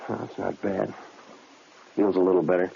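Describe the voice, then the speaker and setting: An actor playing a guarded, weary jail inmate in a 1950s radio crime drama, clipped and understated. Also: male voice, deep voice, little pitch movement, moderate pace, vocal fry. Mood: guarded, casual. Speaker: narrator and lead actor in a police radio drama. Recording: muffled, noisy old radio recording.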